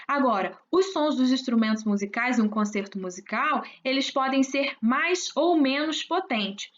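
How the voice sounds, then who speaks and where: A woman's voice: sits high, animated, moderate pace, a bit bright. A woman teacher explaining a science lesson, talking straight to her students and checking they follow along. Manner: explanatory, addresses students with frequent check-ins. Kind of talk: recorded class explanation. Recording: dull lo-fi recording.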